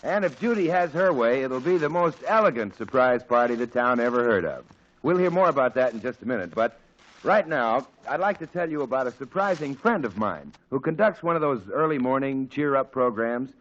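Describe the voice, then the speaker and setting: A male radio announcer reading a scripted ad in a smooth, persuasive way. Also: medium pitch, expressive, brisk. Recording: dull old radio broadcast.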